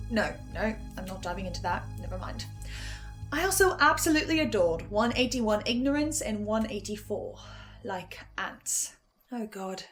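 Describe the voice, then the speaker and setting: A woman hosting a scripted video essay, giving reflective commentary with dramatic pauses. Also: a little high. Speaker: reviewer and host. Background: music.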